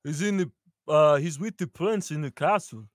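A man voicing a friendly, simple-minded giant at a screenplay table read, with a halting delivery. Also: fairly high pitch, dry recording, studio quality, lightly acted. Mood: simple, earnest. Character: gentle slow-witted giant brute, adult man, friendly. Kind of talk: live table read of a screenplay over video call. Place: home rooms, online video call.

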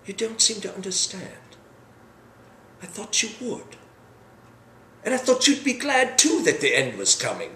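A man playing a remorseful, bitter old general in a halting, brooding dramatic stage monologue. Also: high-pitched, huge pitch swings, bright, forceful tone, pitched above the performer's own voice, full character voice. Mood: brooding, defiant. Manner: halting, brooding, swings from murmur to outburst. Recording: echoey room with background noise.

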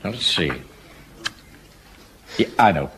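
Pompous English Home Guard captain, blustering, lively up-and-down delivery, hissy old radio recording. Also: male voice, sits low, slow, exaggerated voice. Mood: self-important. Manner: pompous, self-important, blustering. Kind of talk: 1970s radio sitcom acting. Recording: old radio broadcast, audience laughter, hiss.

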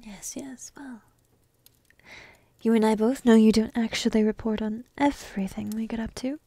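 A woman voicing a petite, playful, secretly affectionate dragon princess in a soft, breathy ASMR roleplay, teasing slowly and often slipping into whispers close to the mic. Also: on the high side, fairly even intonation. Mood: languid, coy. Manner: slow, teasing, intimate delivery with pauses. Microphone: close microphone in a dry room.

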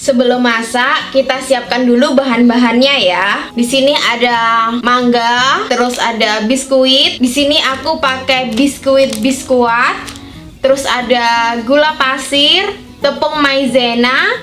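A woman's voice: high voice, a little slow, fairly bright tone, reverberant, faint music behind. A young woman chatting upbeat to her viewers while walking them through a simple recipe. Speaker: cooking vlogger. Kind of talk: cheerful cooking tutorial.

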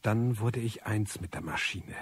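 An actor playing a disillusioned, bitter loner, narrating a radio drama in a husky, articulate voice. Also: male voice, fairly low pitch, measured pace, no room sound. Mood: absorbed. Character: disillusioned, bitter male loner, obsessive computer eavesdropper.

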